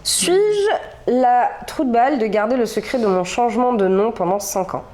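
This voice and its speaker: A woman's voice: fairly high pitch, lively intonation, normal speed, mellow. Young woman, casual co-host; reads a story aloud, loose and chatty. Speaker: podcast co-host reading stories. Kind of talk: casual chat and reading aloud.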